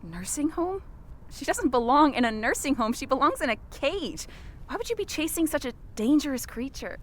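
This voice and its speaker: A woman's voice: sits high, very animated, moderate pace. A woman playing an earnest, easily outraged reporter who speaks emphatically in an audio drama. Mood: indignant. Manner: emphatic, righteous, wordy.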